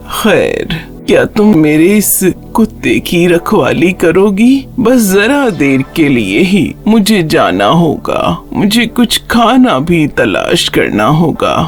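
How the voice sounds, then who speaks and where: A woman voicing a kindly, tender elderly woman in a children's cartoon story, speaking in an affectionate, soothing manner. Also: low voice.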